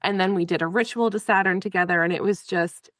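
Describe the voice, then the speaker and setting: A woman's voice: lowish voice, fast talker, a bit bright, no room sound, clean studio sound. A woman hosting a podcast, talking enthusiastically and chattily with her guest. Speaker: podcast host. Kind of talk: casual conversational interview.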